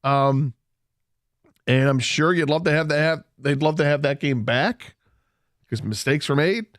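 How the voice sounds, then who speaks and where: A man co-hosting sports radio, talking in a measured, analytical way with fillers. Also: fairly high pitch, fairly bright tone, no room sound, studio quality. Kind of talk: casual sports talk. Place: radio studio.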